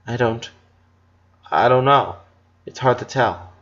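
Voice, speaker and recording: A man voicing a gentle, sorrowful eleven-year-old boy, a protective big brother who speaks haltingly, in a story reading. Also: medium pitch, creaky, muffled recording. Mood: hesitant, uneasy. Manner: halting, hesitant.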